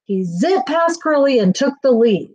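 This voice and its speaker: A middle-aged woman reading a children's picture book aloud in an expressive storytelling manner. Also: high-pitched, measured pace, low-quality recording. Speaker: school staff reading aloud. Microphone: webcam on a video call, near.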